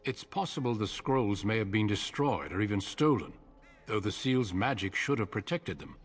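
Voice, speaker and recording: An actor playing a grave, authoritative male commander in a video game, speaking in a measured, formal way. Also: lowish voice, animated, slightly rough. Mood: grave, instructing.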